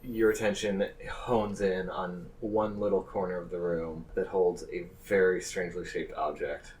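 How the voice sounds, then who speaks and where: A man's voice: low voice, little pitch movement, moderate pace, a little mellow. A man narrating a tabletop game as game master. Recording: slightly distant mic, faint noise.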